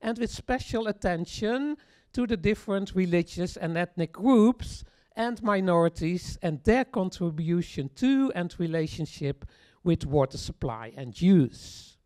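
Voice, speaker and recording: A presenter addresses a launch audience in a slow, unhurried voice. Every phrase is placed deliberately, with the odd restarted word. The pitch sits in an ordinary middle range. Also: lively intonation, warm tone. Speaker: tour project presenter. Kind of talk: speech at a launch event. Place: event hall.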